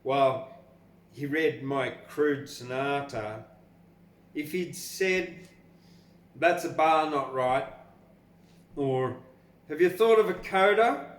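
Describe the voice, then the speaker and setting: A man's voice: on the high side, lively intonation, takes it slowly, long pauses. An older Australian man hosting a poetry podcast, talking and reading in an informal, rambling, blunt way. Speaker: poetry podcast host and reader. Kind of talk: casual commentary and expressive poetry reading. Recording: echoey room with faint background noise.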